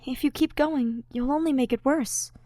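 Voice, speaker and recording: A woman voicing a gentle, earnest teenage boy with an eager, expressive manner in a fan fiction audiobook. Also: high-pitched, normal speed, no echo, studio quality, pitched above the performer's own voice, close to an everyday voice. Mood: concerned. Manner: soft-hearted, eager, expressive.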